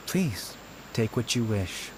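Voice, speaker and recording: A man playing the solemn, courteous ghost of an ancient slain warrior in a video game, speaking in a formal, measured, regretful way. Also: lowish voice, very animated. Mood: courteous, cryptic. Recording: very echoey, clear background noise.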